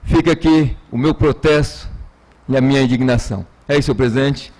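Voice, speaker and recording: A man's voice: mid-range voice, steady tone, brisk, darkish tone, rough recording. A Brazilian man giving a political speech as a city councillor in a council session, emphatic and oratorical, repeating phrases for weight.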